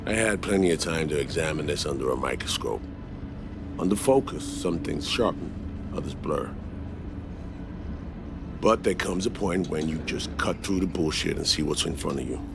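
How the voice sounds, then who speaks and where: An actor playing a weary, loyal veteran spy in a video game, speaking in a deliberate, blunt, measured way. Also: male voice, a bass voice, gravelly, noisy background. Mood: grave, reflective. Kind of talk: video game cutscene acting.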